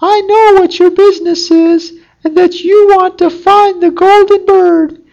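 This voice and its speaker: Super high little fox voice, wise n earnest, fairy tale style, bit lo-fi. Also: steady tone, a little slow, creaky, a little mellow, exaggerated voice. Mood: earnest advising. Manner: earnest, instructive. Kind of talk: storybook character voice.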